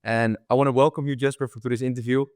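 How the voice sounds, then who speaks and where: A man's voice: medium pitch, level tone, very fast, a little mellow, clean tone, no room sound, studio quality. A young man who grew up in the Netherlands, hosting a casual interview podcast, with loose talk full of repeated words and "bro". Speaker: podcast host.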